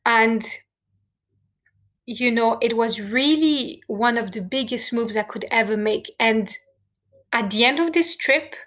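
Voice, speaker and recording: A woman's voice: on the high side, unhurried, pauses often, fairly bright tone. A woman with a French accent, a native French speaker from France, sharing a personal story in an earnest, heartfelt monologue to her listeners. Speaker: podcast host.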